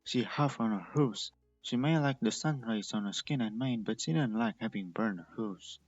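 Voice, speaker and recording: A man's voice: fairly low pitch, moderate pace, lo-fi sound, soft background music. A man reading a story aloud as the narrator. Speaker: fan fiction reader and narrator.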